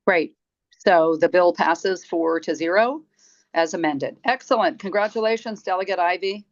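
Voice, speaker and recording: Low-voiced woman chairing a committee. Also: normal speed. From Maryland. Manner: hesitant, many fillers. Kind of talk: chairing a legislative meeting. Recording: dull muffled audio.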